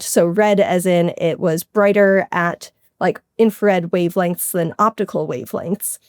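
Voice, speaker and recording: A woman's voice: mid-range voice, darkish tone, no echo, studio quality. A woman scientist explaining her research informally and with enthusiasm in a conversational interview. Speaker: guest scientist, astrophysics researcher.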